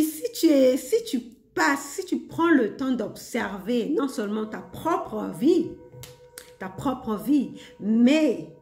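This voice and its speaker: A woman's voice: fairly high pitch, darkish tone, echoey room. A woman vlogger talking casually and reflectively to her viewers, hesitant with many fillers and frequent laughs. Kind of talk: casual spiritual monologue.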